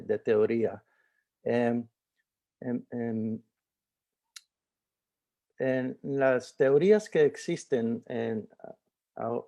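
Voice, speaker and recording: Man from Puerto Rico, academic talk, slow delivery, gravelly, halting with many fillers. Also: mid-range voice, dark tone. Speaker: guest speaker, researcher. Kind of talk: academic webinar presentation.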